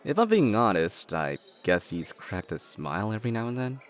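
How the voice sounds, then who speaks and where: Audio drama role: sensible, wry adult brother, lowish and expressive, with music behind him. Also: male voice. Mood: calm, deflecting. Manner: measured, wry, reasoning. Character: level-headed, dorky adult brother from a wealthy family.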